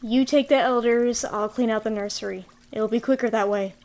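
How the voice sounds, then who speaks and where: Woman as young cat apprentice, proud, defiant, highish voice, amateur fanfic drama. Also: steady tone, moderate pace, a little mellow, close to an everyday voice. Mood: brisk.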